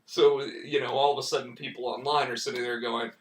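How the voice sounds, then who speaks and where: A hoarse man talks casually at a middle pitch, rambling through his thoughts with plenty of restarts. His voice sits back from the mic in a roomy, echoing space. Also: little pitch movement, brisk, recorded from a distance. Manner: rambling, many restarts and "like" fillers. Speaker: comic book writer and stream host. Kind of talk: casual live-stream chat.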